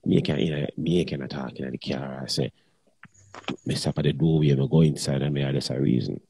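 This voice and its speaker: A man's voice: very deep voice, steady tone, fairly quick, creaky, dark tone, husky, dry recording. A middle-aged man, talking bluntly and crudely in patois in a casual chat.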